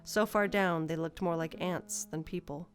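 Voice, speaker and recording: A woman's voice: lowish voice, fairly even intonation, normal speed. A woman narrator reading a scripted fantasy story.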